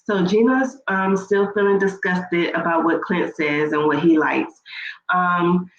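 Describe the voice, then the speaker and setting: A woman's voice: fairly low pitch, fairly even intonation, measured pace. A woman with a stuffy nose, rambling casually as she recaps a reality TV show for her channel. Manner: chatty, rambling with fillers and restarts. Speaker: YouTube reaction host. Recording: echoey room, dull lo-fi sound, faint noise.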